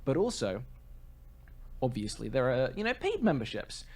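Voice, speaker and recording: A man with a middle-pitched voice co-hosts a podcast. He speaks in a casual, conversational way, as if chatting things over with his fellow host. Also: huge pitch swings, moderate pace, a little mellow.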